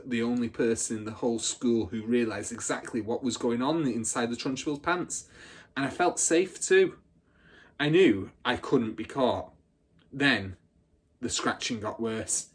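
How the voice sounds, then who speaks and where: A boastful older schoolgirl from a children's storybook, acted out by a man. The voice is husky and very animated, full of swagger. She relates her exploits like a seasoned old campaigner, with dramatic emphasis. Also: mid-range voice.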